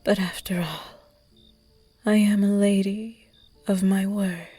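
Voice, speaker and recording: A woman's voice: mid-range voice, steady tone, soft background music. A woman whispering as a seductive vampire lady. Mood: seductive teasing. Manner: slow, close, breathy whispering with soft laughs. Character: seductive predatory female vampire at a masquerade ball, teasing. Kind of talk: whispered ASMR roleplay audio.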